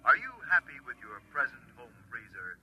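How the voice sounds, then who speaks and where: An actor playing a pushy, repetitive commercial announcer in old radio style, hard-selling with slogans. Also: male voice, medium pitch, very animated, brisk, heavy background noise, lightly acted. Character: pushy, insistent recorded commercial pitchman in radio drama. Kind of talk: mid-century radio advertising announcing. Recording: dull, lo-fi old radio recording.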